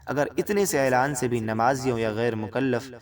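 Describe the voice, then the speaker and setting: A man's voice: mid-range voice, distant mic. A man formally reading out and explaining religious rulings from a script, addressing viewers directly.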